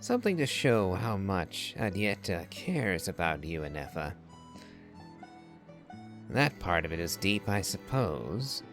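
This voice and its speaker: A deep-voiced man gives casual game commentary. He muses at a slow, unhurried pace, reading along as faint music plays behind him. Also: very animated.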